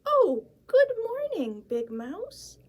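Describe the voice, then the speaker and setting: A woman's voice: extremely high pitch, wildly swooping pitch, slow, pitched above the performer's own voice, exaggerated voice. A woman voicing a tiny cheerful young mouse who keeps asking eager questions.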